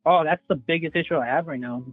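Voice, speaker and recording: Caller, young man in his twenties, higher-pitched, chatty and rambling, heard through a thin phone line. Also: quick pace, soft background music. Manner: rambling, hedging with "like". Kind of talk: casual phone call.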